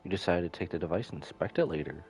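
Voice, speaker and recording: A man's voice: deep voice, very fast, vocal fry, darkish tone, soft background music. A man giving casual, slangy live commentary as he streams a video game.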